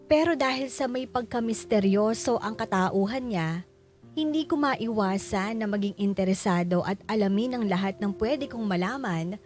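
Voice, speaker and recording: Actress as a filipino wife telling her own story, reflective radio drama narration, mid pitch, music underneath. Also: moderate pace, a little mellow, close to an everyday voice. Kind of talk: scripted first-person radio drama narration.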